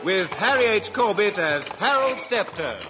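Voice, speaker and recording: A man announces a radio programme in a scripted style. His voice is high for a man, and music plays clearly behind him. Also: lively intonation, measured pace.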